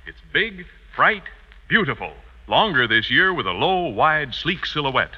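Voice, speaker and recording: A man delivering an enthusiastic 1950s radio advertising pitch. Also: big pitch swings, a little slow. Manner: enthusiastic selling. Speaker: commercial announcer. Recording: reverberant, muffled vintage radio recording.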